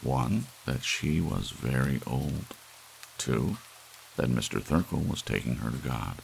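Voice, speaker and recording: Male audiobook narrator for ASMR story reading: rock-bottom pitch, crackling creaky texture, soft rain hiss behind. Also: animated, measured pace. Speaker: audiobook reader.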